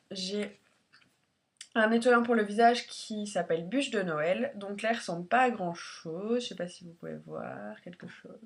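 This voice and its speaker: Girl doing a chatty beauty vlog, stuffed up with a cold, average pitch, bit hesitant. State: congested with a head cold. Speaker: beauty vlogger. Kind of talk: casual chatty product review.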